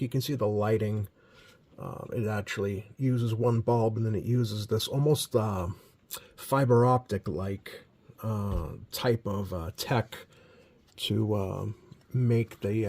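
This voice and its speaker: A man giving a casual, unscripted hands-on commentary as a hobbyist repairer, easygoing and halting as he thinks aloud. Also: lowish voice, a little mellow. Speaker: radio repair hobbyist hosting a video.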